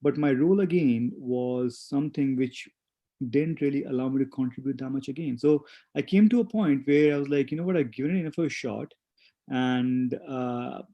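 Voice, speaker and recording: A man in his forties who grew up in India and speaks English as a second language, reflecting slowly with many pauses and fillers as an interview guest. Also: medium pitch, muffled recording. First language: not English. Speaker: guest, spa owner. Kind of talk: casual interview conversation.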